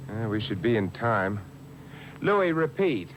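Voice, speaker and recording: An actor playing a suave, unflappable amateur sleuth with a dry, urbane manner in a 1950s radio mystery. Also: male voice, on the low side, very animated, takes it slowly. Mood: wry, probing. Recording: noisy old radio broadcast taped on cassette.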